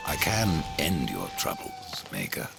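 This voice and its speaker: An actor playing a grim, sardonic horseman of the apocalypse in a video game, curt, dry and menacing, with a gravelly voice. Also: low voice, huge pitch swings, a little slow, exaggerated voice. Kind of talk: dramatic video game acting. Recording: loud music behind the voice.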